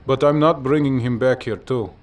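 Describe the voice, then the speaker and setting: A man acting as a seasoned secret agent in a video game. He is terse and cool, with a dry, unhurried delivery at a medium pitch. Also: lightly acted. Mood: cool, resolute.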